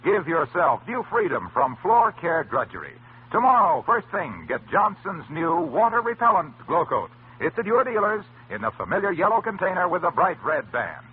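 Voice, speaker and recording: A male American announcer reads a scripted vintage radio ad with emphatic polish. His fairly high voice is very animated, and the old recording sounds tinny. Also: moderate pace, background noise. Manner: emphatic, polished sales delivery. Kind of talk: 1940s-1950s scripted radio advertising.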